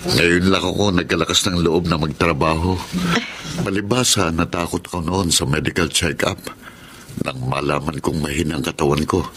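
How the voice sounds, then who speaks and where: Casting: man for apologetic eldest Filipino brother, deep voice, radio drama, noisy recording. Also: fairly even intonation, moderate pace, natural voice. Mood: humble, apologetic. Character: humble, apologetic eldest brother, adult, frail.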